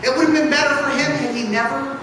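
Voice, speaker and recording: A woman reading scripture aloud in church, solemn and deliberate, with dramatic phrasing. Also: high voice, fairly even intonation. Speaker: scripture reader. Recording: echoey hall from far off, faint noise.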